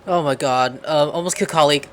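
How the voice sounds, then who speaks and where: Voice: medium pitch, quick pace. A gamer giving casual let's play commentary with blunt, off-the-cuff reactions. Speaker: gaming video host.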